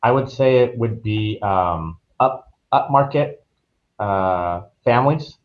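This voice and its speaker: A man's voice: fairly low pitch, takes it slowly. An American man speaking as a travel agent guest on a podcast, explaining things in an informal, conversational way. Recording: dull, lo-fi online call audio.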